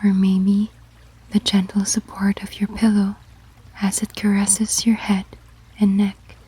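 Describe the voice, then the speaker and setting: Female meditation guide, ASMR style: whispery and very breathy, mellow tone, very slow gentle pacing. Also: mid-range voice, completely flat delivery, poor audio. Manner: slow, gentle, measured instructions.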